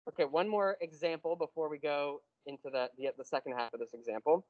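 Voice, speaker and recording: A man's voice: a little high, fairly even intonation, dry recording, narrow, tinny audio. Patient man lecturing on logic. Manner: patient, explains step by step, slows on key terms. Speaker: math lecturer. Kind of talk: classroom lecture.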